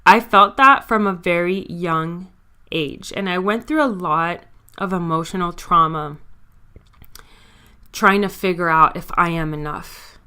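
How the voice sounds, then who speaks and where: Bright, clear-voiced young woman podcaster. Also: lowish voice, a little slow. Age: 20s. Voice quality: stutter. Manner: candid, confiding, talks straight to listeners. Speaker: podcast host. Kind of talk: casual personal monologue.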